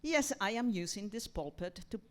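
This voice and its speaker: A woman speaking as a theatre program director leading an informal feedback talkback, halting and rephrasing as she thinks aloud. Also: medium pitch, animated. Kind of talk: informal post-reading talkback with audience.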